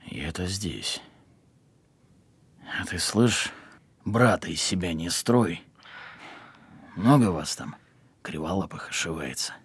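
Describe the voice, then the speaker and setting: Audio drama: hardened male criminal on the run, extremely low, rough-edged voice, gruff slang, bitter bravado. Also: lively intonation, slow pace, long pauses, no echo, heavily acted. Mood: gruff, menacing. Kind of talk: audio film acting.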